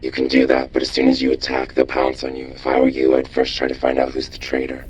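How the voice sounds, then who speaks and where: Extremely deep, monotone robot commander. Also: male voice, quick pace, lo-fi sound, soft background music. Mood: instructive. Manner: terse, instructive, deadpan. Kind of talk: video game mission briefing.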